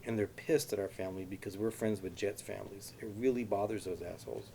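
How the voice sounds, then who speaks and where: A man's voice: on the low side, fairly even intonation, fast, gravelly, dark tone, a little hiss or hum. A man playing a blunt young woman. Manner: blunt, indignant.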